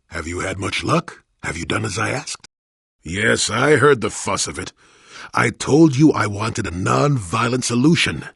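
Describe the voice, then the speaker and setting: Casting: crotchety old space-fantasy hermit for a video game, low and raspy, wry, swinging theatrically in tone. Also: male voice, a bit bright, no room sound, studio quality, exaggerated voice. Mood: disapproving, resigned. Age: older. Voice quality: gravelly, raspy. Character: cantankerous wry old male hermit, a Force-sensitive former Jedi. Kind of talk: video game character voice acting.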